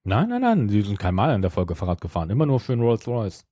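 A man's voice: fairly low pitch, wildly swooping pitch, fast, dark tone, no echo, clean studio sound. A man co-hosting a podcast, discussing an audio drama casually in a matter-of-fact way. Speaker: podcast host.

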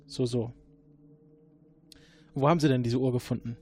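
A man's voice: mid-range voice, steady tone, normal speed, warm tone, breathy edge, natural voice. A man playing a time-travel agent who questions a suspect in a probing, dryly sarcastic way, in an audio drama. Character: probing male time-travel agent, adult, sardonic interrogator.

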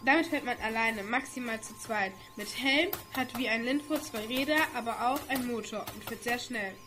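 High-voiced young woman acting a helpful guide. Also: moderate pace, sharp tone, soft background music. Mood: explaining. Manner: explanatory, matter-of-fact. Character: helpful girl from a future world explaining vehicles. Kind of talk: amateur youth radio play.

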